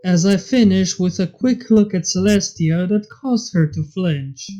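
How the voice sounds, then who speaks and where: A woman's voice: fairly low pitch, animated, unhurried, dark tone. A woman reading a fan fiction story as a scripted narration. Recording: slightly dull audio, music behind. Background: background music.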